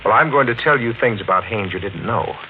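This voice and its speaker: An actor playing a smooth, sinister killer who taunts his victim with mock politeness, in 1940s radio mystery style. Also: on the low side, lively intonation. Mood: insistent, menacing. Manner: mock-polite, menacing, deliberate. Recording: noisy, narrow-band 1940s radio recording.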